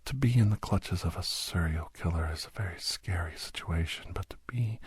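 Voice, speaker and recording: A man playing a grave, haunted doctor and war veteran in an ASMR horror audio drama, speaking slowly in a breathy, husky hush that often slips toward a whisper. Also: low-pitched, dry recording. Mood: fearful, haunted. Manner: slow, halting, hushed, often slipping into whisper.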